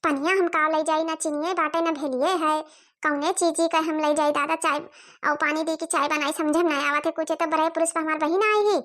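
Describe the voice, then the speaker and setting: Woman acting a high-voiced, humble village elder sister. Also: fairly even intonation, brisk, a bit bright. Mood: apologetic. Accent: Awadhi. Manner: apologetic, plaintive. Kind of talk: comic cartoon dialogue.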